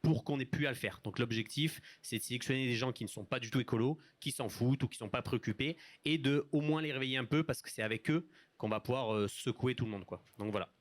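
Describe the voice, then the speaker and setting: A man's voice: medium pitch, quick pace, dry recording. A man from Marseille explaining his association's work on a live panel, in colloquial, blunt language. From Marseille, France. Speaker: association president, panel guest.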